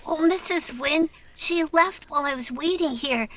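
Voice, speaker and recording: Woman voicing a high-pitched shy little boy. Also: normal speed, a bit bright, slight background noise. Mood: timid, polite. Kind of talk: 1940s radio drama acting.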